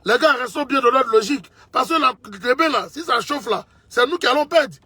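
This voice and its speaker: A man's voice: very high voice, animated, a little fast, pushed and bright, husky, dry recording. A man from Côte d'Ivoire, giving an impassioned political monologue, emphatic and repeating his points to the listener as a brother. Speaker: political commentator.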